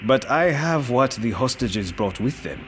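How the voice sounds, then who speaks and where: An actor playing an ambitious young-blooded captain, hesitant yet determined, in an audio drama. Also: male voice, mid-range voice, expressive, unhurried, a bit bright, soft background music. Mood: determined bargaining. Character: nervous but ambitious male warlord's nephew, a captain.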